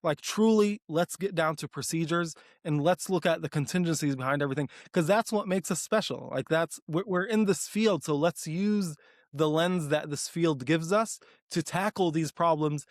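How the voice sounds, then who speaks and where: A man in his thirties from Texas, talking eagerly and earnestly as an interview guest, full of "like" fillers and quick restarts. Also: on the high side, no echo, studio quality. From Dallas, Texas, US. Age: 30s. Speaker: guest, applied research leader. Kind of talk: conversational interview.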